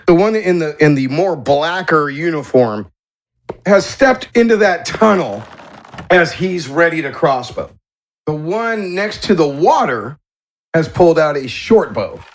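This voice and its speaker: A man narrating a tabletop game as the game master. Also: a little high. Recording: clearly noisy voice track with clicks.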